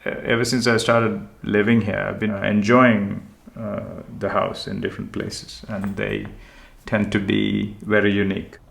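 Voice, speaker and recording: A man from Sri Lanka, a homeowner, talking in a slow, thoughtful and hesitant way about his house. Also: lowish voice, little pitch movement, creaky. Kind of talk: reflective conversational chat.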